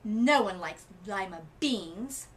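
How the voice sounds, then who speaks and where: A woman's voice: medium pitch, expressive, takes it slowly, recorded from a distance, a little hiss or hum. A woman voicing a stubborn young girl in a storybook, with an emphatic, exclaiming delivery. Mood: disgusted.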